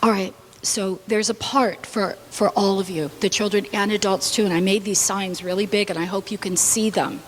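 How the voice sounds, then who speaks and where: A woman's voice: mid-range voice, fairly even intonation, normal speed, hardly any pauses. Woman coaxing an audience, noisy live recording. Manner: informal, coaxing, demonstrating responses. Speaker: storybook fairy helper leading audience responses. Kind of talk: casual live instructions to an all-ages audience. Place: live gathering before an audience.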